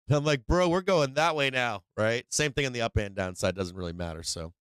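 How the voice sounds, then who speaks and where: A man's voice: mid-range voice, lively intonation, normal speed, a bit bright, smooth, no room sound. A man hosting a live stock market stream, casual and slangy, rambling with many fillers and restarts, saying he is losing his voice.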